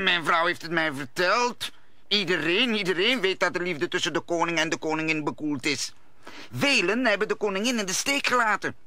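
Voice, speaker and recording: An actor playing a fretful landlord and husband in a radio play, nervous and halting, stammering as he speaks. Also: high-pitched, bright, forceful tone, no echo, heavily acted. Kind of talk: Dutch radio play acting.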